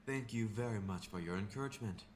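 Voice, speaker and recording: A man's voice: lowish voice, fast talker, darkish tone, slight background noise, natural voice. A man playing a gallant young figure skating idol in an audio drama, speaking in courteous, flowery phrases. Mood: charming, gracious. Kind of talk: anime-style audio drama acting.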